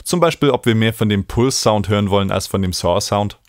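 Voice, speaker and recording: A man, calmly explaining music software step by step as a tutorial host. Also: on the low side, fast talker, no room sound, clean studio sound. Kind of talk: screen-recorded software tutorial.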